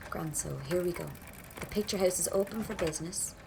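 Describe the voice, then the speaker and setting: An actress playing a nervy, grumbling young Irish rebel, thinking aloud in an Irish accent in an audio drama. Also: female voice, lowish voice, a little fast, gravelly. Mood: tense, determined. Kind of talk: audio drama inner monologue. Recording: noisy outdoor-style scene ambience.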